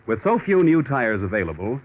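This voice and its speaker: A man's voice: mid-range voice, sing-song, fairly quick. A man delivering polished, emphatic sponsor announcements as a 1940s radio announcer. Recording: tinny, hissy 1940s radio broadcast.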